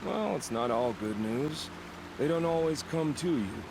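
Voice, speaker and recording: An actor playing a wry, sinister wandering outlander killer in a video game, musing with sardonic dark humour. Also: male voice, on the high side, expressive, background noise. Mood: darkly amused. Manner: sardonic, musing, unhurried phrasing. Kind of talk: dramatic video game dialogue.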